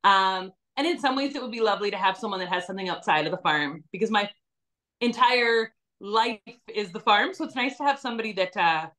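A woman's voice: medium pitch, brisk, fairly bright tone. A young woman from British Columbia, a dairy farmer guest talking easily and laughing often on a video call from home. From British Columbia, Canada. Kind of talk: casual video-call interview. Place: home room.

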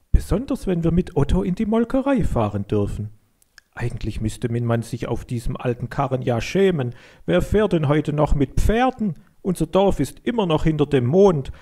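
A man's voice: fairly high pitch, mellow, voice pushed up. A man voicing a stubborn, hot-headed farm boy in a children's story, arguing emphatically. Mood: delighted, sleepy. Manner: emphatic, argumentative.

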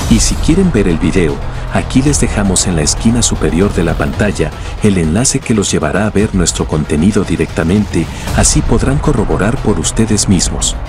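A man narrating a mocking commentary voice-over, scornful and sarcastic, with music behind. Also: deep voice. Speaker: channel narrator.

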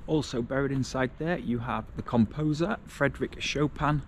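A man's voice: mid-range voice, moderate pace, background noise. A man in his forties, chatting casually as he walks and points out city sights, filmed outdoors on a camera held at arm's length. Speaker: travel vlogger. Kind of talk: casual travel vlog commentary. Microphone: action camera held at arm's length.

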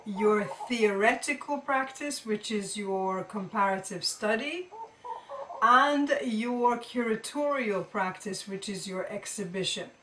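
A woman's voice: medium pitch, slow pace, background noise. A middle-aged woman teaching informally to camera, thinking aloud and often rephrasing as she explains. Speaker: art teacher presenting tips to students. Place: indoor room with carved wooden panelling. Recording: roomy echo, distant microphone.

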